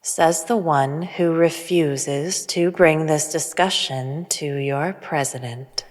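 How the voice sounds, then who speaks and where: A woman plays an aloof emissary of an ancient hidden race in a science fiction audio drama. Her low voice moves slowly and formally, calm and detached, in a long reverberant space. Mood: coolly challenging. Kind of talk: sci-fi audio drama acting.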